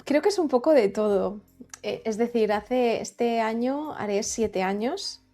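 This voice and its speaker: A woman from the Valencia region, speaking as a podcast guest. Her voice is mellow and dark, and she explains her ideas thoughtfully, with faint music in the background. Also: on the high side, unhurried. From Valencia region, Spain. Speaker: guest, content creator. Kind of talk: conversational interview.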